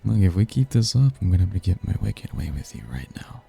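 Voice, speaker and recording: Man acting deep gravelly seductive demon. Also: very animated, mellow, faint music behind, heavily acted. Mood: sly, seductive. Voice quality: husky, gravelly. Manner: slow, flirtatious, breathy murmurs with low chuckles. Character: smooth-talking seductive male demon, suave, teasing, devilishly charming. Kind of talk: intimate ASMR roleplay acting.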